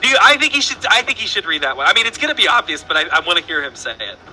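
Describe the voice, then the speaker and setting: A man's voice: high-pitched, very animated, rapid-fire, over music, poor audio. A man with a Southern US accent hosting a casual online trivia show, rambling and playful with lots of fillers and restarts. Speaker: trivia host.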